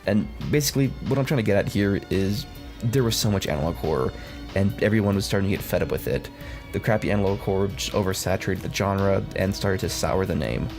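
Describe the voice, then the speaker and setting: Low-voiced sarcastic man over music. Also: expressive, fast talker, darkish tone. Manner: sarcastic, blunt, swears freely. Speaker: video essay host. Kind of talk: casual scripted commentary video. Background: background music.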